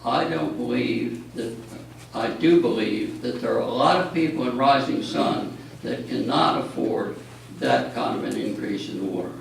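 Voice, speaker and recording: A man with a gravelly, creaky voice speaks slowly and deliberately to a town board. He makes measured, pointed remarks. He sounds distant, caught in a very echoey meeting hall with faint noise behind. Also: medium pitch, animated. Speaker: town commissioner at a public meeting.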